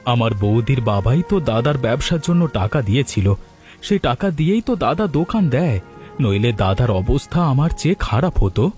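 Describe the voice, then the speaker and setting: A man's voice: medium pitch, sing-song, normal speed, darkish tone, faint music behind, close to an everyday voice. A man voicing a meek, hesitant village husband in a cartoon, sounding doubtful and mildly chiding. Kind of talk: Bengali village cartoon dubbing.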